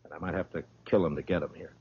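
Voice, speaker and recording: Stern American western marshal: low husky voice, terse authority, dull vintage radio sound. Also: male voice, steady tone, very fast, full character voice. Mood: firm, commanding. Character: stern, weary male frontier lawman, blunt and commanding. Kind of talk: old-time radio western acting.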